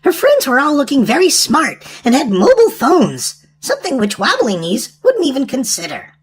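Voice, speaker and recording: Woman, children's storytime host, very high voice, hugely swooping sing-song delivery, read-aloud narration. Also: huge pitch swings, normal speed.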